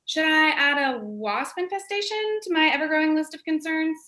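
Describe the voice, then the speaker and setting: A woman plays a moody prince turned chatty suburban neighbor in a comic radio commercial. The voice is highish and bright, perky and theatrical, in a roomy space. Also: animated, normal speed. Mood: perky, curious. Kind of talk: comic parody radio advertisement.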